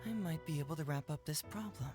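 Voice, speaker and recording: A man's voice: on the high side, fast, music in the background, close to an everyday voice. An actor playing a sly, easygoing young male detective in a video game, glib and teasing in conversation. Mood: earnest.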